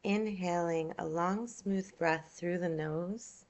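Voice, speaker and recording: A woman yoga nidra instructor leading a guided meditation in a soothing, unhurried, gentle manner, leaving long pauses. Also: fairly low pitch.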